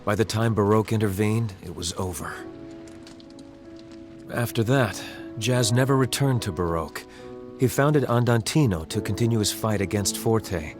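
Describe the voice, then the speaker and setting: An actor playing a calm, sincere young prince in a game cutscene, speaking in a measured, formal, soft-spoken way. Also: male voice, fairly low pitch, natural voice. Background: game soundtrack music.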